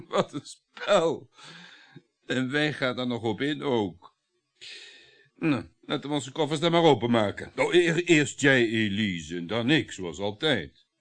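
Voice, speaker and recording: A middle-aged husband in a radio drama, domineering and uneasy. His pitch moves in wide, lively swings as he needles and mocks. He talks on and on, with a sardonic edge. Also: takes it slowly, pauses often. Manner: mocking, talkative, sardonic chuckles. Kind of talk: Dutch radio play acting.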